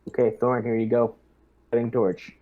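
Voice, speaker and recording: A man speaking in character as a squad leader in a tabletop game, measured as he gives orders and briefings. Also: mid-range voice, little pitch movement. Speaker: player acting as squad lieutenant. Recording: dull, slightly lo-fi audio.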